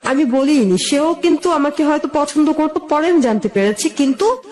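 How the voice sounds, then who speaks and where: A woman's voice: high-pitched, lively intonation, normal speed, darkish tone. A woman with a Bangladeshi accent telling her own life story as an interview guest, conversational, wistful and admiring.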